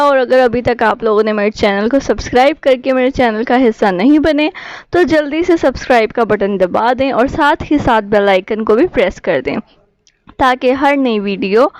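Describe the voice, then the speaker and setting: Woman, urdu, kinda high voice, doing a casual youtube how-to, dry close sound. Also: no room sound, poor audio. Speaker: YouTube tutorial host. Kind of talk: casual app tutorial narration.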